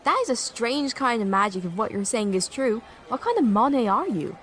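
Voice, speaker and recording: A woman's voice: a little high, lively intonation, moderate pace, a bit bright, a little hiss or hum. A woman playing a sensible, inquisitive youngster with a mildly skeptical manner in an audio drama.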